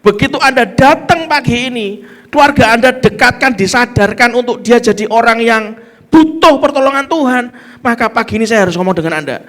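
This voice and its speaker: A man with a Javanese accent preaches as pastor in a fairly high voice. He talks with emphasis, pressing his points and calling for amens. He slips local Javanese phrases into his sermon. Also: fairly bright tone. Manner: emphatic, calls for amen.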